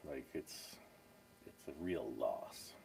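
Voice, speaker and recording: A man's voice: rumbling low, lively intonation, takes it slowly, creaky, crowd noise. An American man co-hosting a casual live stream, laid-back and joking. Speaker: co-host of a live stream, custom car builder.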